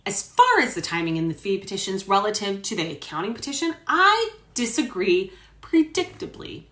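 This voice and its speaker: A woman's voice: mid-range voice, big pitch swings, normal speed, fairly bright tone. A woman reading the part of an indignant male attorney arguing in court, formal, emphatic and self-righteous. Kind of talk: dramatic reading of court argument. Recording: roomy echo.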